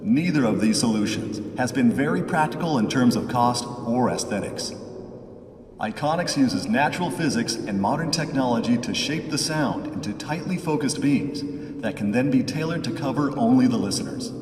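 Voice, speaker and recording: A man reading a scripted product demonstration in a measured, promotional way, heard as playback through a loudspeaker in a large church. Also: mid-range voice, distant mic. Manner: measured, even, promotional delivery. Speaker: product demo narrator. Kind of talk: scripted corporate narration. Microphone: room microphones at a distance from a loudspeaker. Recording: played back through a loudspeaker in a reverberant church.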